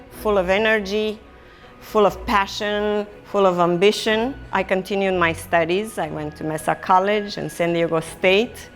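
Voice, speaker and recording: A middle-aged woman from Romania, an artist telling her life story in a reflective, plain-spoken way. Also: mid-range voice. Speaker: artist and gallery owner. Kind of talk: personal storytelling in a documentary interview.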